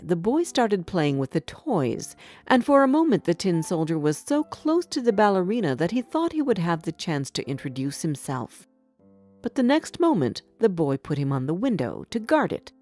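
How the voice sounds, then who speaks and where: A woman's voice: fairly low pitch, dark tone. A woman narrating a scripted fairy tale for children as a storyteller. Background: background music.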